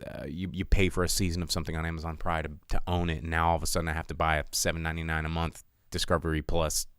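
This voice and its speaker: An American man hosting a live film talk show, chatting casually with viewers in an animated, opinionated way and repeating words for emphasis. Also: rumbling low, rapid-fire, clean tone, no echo, clean studio sound. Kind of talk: casual livestream chat with viewers.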